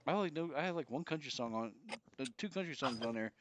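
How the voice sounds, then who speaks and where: A man's voice: fairly high pitch, lively intonation, fast talker, a little hiss or hum. A man in his forties co-hosting a podcast, chatting casually with a joking, profane manner. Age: 40s. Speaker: podcast co-host. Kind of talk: casual chat.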